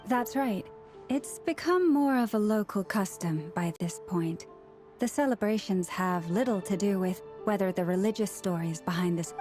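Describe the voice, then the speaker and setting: A woman playing a polite, gentle young festival organiser in a video game, speaking formally and in measured phrases. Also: mid-range voice, faint music behind, close to an everyday voice. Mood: calm. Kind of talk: animated game dialogue.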